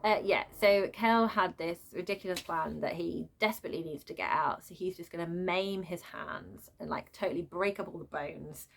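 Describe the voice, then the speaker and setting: A woman's voice: on the low side, vocal fry. Woman in an exasperated, casual book rant. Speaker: book reviewer. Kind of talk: unscripted book rant, casual vlog chat.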